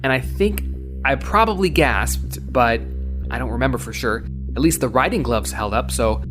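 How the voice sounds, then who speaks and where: A man's voice: mid-range voice, lively intonation, normal speed, over music, close to an everyday voice. A man playing a gritty, wry cyberpunk courier, telling his story in a hard-boiled, conversational first person. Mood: pained, shocked. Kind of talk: dramatic first-person audio story narration. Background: loud synthwave soundtrack.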